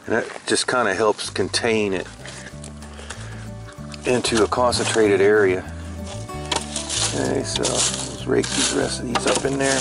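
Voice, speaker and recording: A man hosting an outdoor cooking video at a campsite, talking through each step in a relaxed, chatty way with fillers. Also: fairly low pitch, vocal fry. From Florida. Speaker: outdoor cooking channel host. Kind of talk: casual how-to narration while cooking outdoors. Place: outdoors at a campsite by a fire pit. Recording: music playing under the voice.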